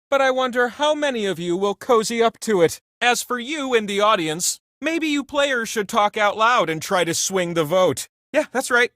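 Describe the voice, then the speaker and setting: A man voicing an excitable, grandiose talking prize wheel that hosts a video game show in a playful, theatrical, mock-pompous manner. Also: sits high, no echo, studio quality. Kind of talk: animated video game announcer lines.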